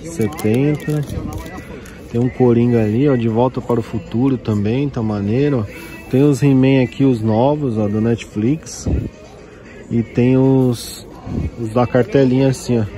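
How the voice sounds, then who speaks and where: A man's voice: mid-range voice, fairly even intonation, a little slow, dark tone, faint music behind. Chatty young man at a flea market. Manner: chatty, points things out constantly. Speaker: toy collector vlogger. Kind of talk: casual walk-through commentary. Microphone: handheld phone camera.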